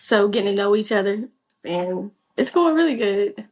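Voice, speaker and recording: A woman answering interview questions in a calm, easygoing way. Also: mid-range voice, moderate pace. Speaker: guest.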